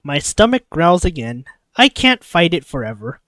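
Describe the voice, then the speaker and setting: An expressive streamer reading a visual novel aloud and breaking off into chatty, rambling asides. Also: mid-range voice, moderate pace, no echo. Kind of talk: live playthrough, narration with character voices and asides.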